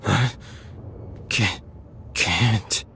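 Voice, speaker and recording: An actor playing a terrified wounded cyborg terrorist in a video game, pleading in a halting way. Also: male voice, lowish voice, vocal fry, pushed and bright, slight background noise, heavily acted. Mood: dazed, weak.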